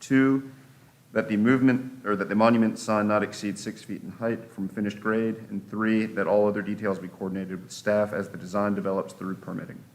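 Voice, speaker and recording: An American man, a commissioner, reading out a formal motion in a measured, procedural way. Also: on the low side, level tone.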